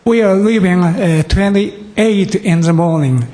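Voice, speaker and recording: Slow, hesitant Japanese man, higher-pitched. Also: warm tone. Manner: hesitant, earnest, broken English with frequent pauses. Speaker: visiting student delegation leader. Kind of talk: halting formal thank-you speech. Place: council meeting room, public address.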